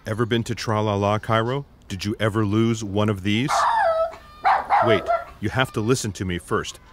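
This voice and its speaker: Sci-fi audio drama: male actor as sincere rescuer, low voice, plain delivery, heavy background noise. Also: steady tone, normal speed, close to an everyday voice. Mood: earnest. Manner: matter-of-fact, earnest.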